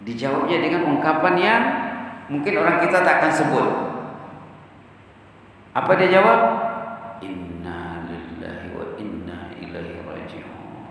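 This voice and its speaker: A man's voice: medium pitch, fairly even intonation, measured pace, vocal fry, pauses often, fairly bright tone. Man preaching in a very echoey mosque. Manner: didactic, asks rhetorical questions then answers them. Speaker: Islamic preacher (ustaz). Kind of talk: Islamic sermon to a congregation. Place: large mosque prayer hall. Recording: very echoey mosque hall, distant mic, faint noise.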